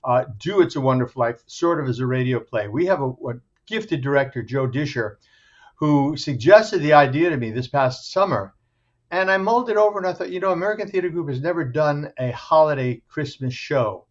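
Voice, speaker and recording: A man's voice: on the high side, expressive, fairly quick. A man giving an enthusiastic, descriptive interview as a theater director promoting a show. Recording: dull, muffled lo-fi sound.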